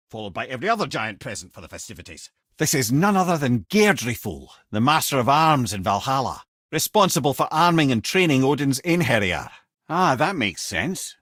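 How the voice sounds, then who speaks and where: Game role: older Scottish sage and guide, wry, eloquent storyteller with widely swinging intonation, dry close recording. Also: male voice, on the high side, a bit bright, no room sound. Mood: storytelling. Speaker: video game voice actor. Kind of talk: in-game character dialogue and storytelling.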